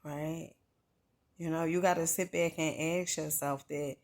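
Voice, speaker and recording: A woman's voice: deep voice, steady tone, unhurried. A middle-aged woman from New Jersey giving an earnest motivational talk, conversational and full of "you know" and "right?".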